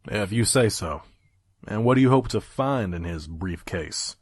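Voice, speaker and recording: Low-voiced, dry pony scavenger, acted by a man. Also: animated, unhurried, slightly rough, no room sound. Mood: dry, skeptical. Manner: dry, guarded, teasing. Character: gruff, shrewd male pony scavenger-peddler, wary and mercenary. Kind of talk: audio drama dialogue and narration.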